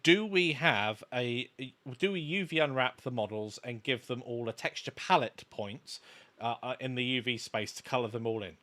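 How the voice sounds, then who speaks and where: Male, bright crisp-edged tone, casual livestream co-host, halting explanatory delivery. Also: mid-range voice. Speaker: livestream co-host, game development course maker. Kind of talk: casual live stream chat.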